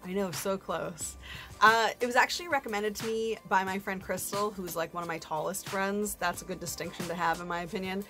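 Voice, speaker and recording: A woman with a mid-pitched voice chats casually as a solo book review host. She speaks informally, tossing in slangy, self-deprecating asides. Faint music plays behind her. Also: fairly quick, bright, forceful tone.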